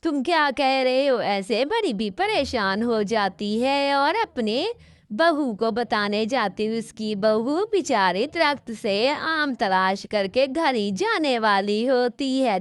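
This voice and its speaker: A woman narrates a children's cartoon tale in a high, smooth voice. Her intonation is very lively, and the sound is close and dry. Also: no room sound. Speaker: storyteller.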